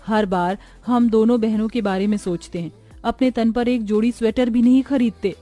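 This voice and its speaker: Woman acting a high-voiced, tender young sister. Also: steady tone, normal speed, dark tone, faint music behind, lightly acted. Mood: concerned. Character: devoted, tender young sister from poor family.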